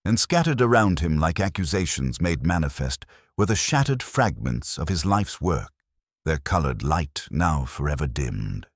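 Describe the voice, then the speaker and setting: A man reading a scripted mystery story as an audiobook narrator, in a measured, literary and dramatic storytelling manner. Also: a bass voice, sing-song, husky, no echo, studio quality.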